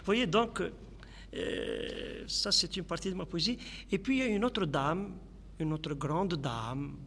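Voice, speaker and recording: A man's voice: high voice, animated, slow, vocal fry, fairly bright tone, big-room sound, a little hiss or hum. A man from Algeria, a poet, speaking in a radio interview and reciting his poems in a lyrical, grandiloquent way. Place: radio studio.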